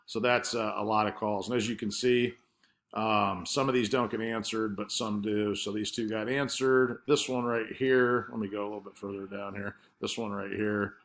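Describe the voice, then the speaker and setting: A man with a somewhat low-pitched voice presents marketing work in an informal screen-share style. He explains things conversationally, feeling his way a little hesitantly from point to point. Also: fairly even intonation, moderate pace, fairly bright tone.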